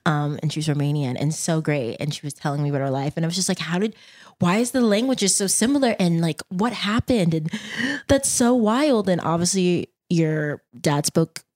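A woman's voice: lowish voice, normal speed. Curious, chatty woman podcast host. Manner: curious, chatty, animated asides. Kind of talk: casual interview chat.

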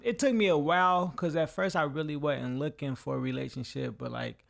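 Gravelly-voiced young man, low-key. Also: a little fast. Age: 20s. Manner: low-key, hesitant, trails off. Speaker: podcast guest. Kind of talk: casual chat.

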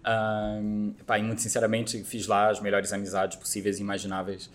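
Man, forties, Portuguese accent with Brazilian tinge, slightly low voice, halting, restarts phrases. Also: completely flat delivery. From Terceira, Azores. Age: 40s. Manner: halting, many restarts and fillers. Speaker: podcast guest. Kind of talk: casual interview chat.

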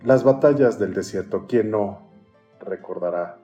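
A man hosting a literary podcast, reading an introduction and an author biography in a formal, measured way. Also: lowish voice, dark tone, faint music behind. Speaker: podcast host.

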